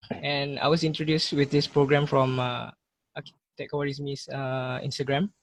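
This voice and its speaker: A young man, a graduating architecture student, introducing himself hesitantly on a video call with many pauses. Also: medium pitch, little pitch movement, poor audio. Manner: shy, many pauses and uh's. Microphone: headset mic.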